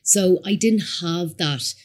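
A woman in her thirties, from Somalia and raised in Ireland, with a Dublin accent, telling her life story as a podcast guest in a chatty, animated way. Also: on the low side, slow. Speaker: guest entrepreneur. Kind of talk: casual interview chat. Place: podcast studio.

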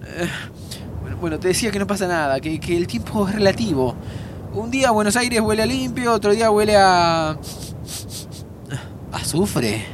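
An actor playing a young time traveller, a loyal and frank friend, with a Rioplatense Argentine accent and informal, expressive talk in an audio drama. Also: male voice, high voice, moderate pace, noisy background. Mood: frank, supportive. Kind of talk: naturalistic audio drama acting.

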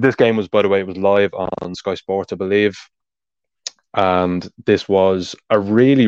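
An Irish man with a low, deep voice hosts a casual football podcast. He talks quickly and explains tactics at length. The recording is dry and a little dull, like a home podcast mic. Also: a little mellow, no echo. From Ireland. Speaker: podcast host.

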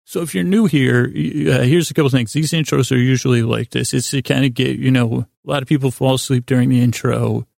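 Male podcast host, American, mid-pitched creaky voice, close dry sound; whimsical, halting bedtime rambling. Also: fairly even intonation, a little mellow, no echo. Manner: meandering, halting, frequent self-interruptions and tangents; self-deprecating, whimsical. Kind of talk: rambling sleep podcast monologue.

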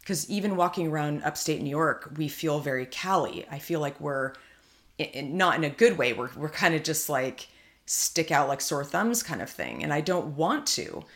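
A woman's voice: low voice, expressive, moderate pace. A woman from California co-hosting a podcast, chatting casually and thinking aloud with many fillers and restarts. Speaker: podcast co-host. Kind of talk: casual chat.

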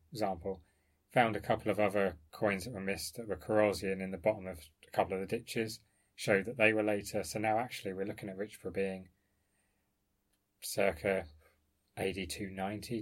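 Male researcher, lowish pitch, informal scholarly conversation, discursive and explanatory with careful qualifications.

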